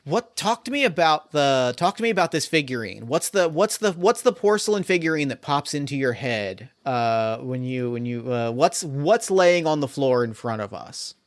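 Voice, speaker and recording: A man as game master of a solo tabletop mystery, talking in a rambling, animated way full of fillers and restarts. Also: mid-range voice, normal speed, hardly any pauses, no room sound, studio quality.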